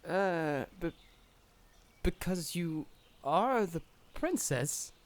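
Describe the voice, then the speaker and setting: A man's voice: on the high side, animated, extremely slow. A man playing a shy, hesitant, stammering young alchemist in a fantasy audio drama. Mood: flustered.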